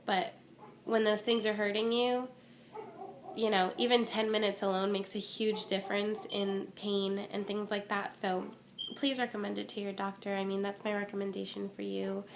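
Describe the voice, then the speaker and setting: A woman's voice: medium pitch, level tone, moderate pace, crowd noise. A young woman chatting candidly to camera from home in a casual vlog update, her voice a bit stuffy and worn from a cold. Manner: chatty and candid, many ums and restarts. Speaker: vlogger. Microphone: phone or webcam.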